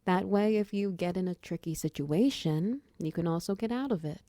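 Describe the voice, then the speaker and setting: A woman voicing a friendly, chatty female minotaur wizard who mentors an apprentice in a roleplay ASMR style, encouraging and gently instructive. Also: fairly low pitch, moderate pace, no room sound, natural voice. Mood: earnest, instructive.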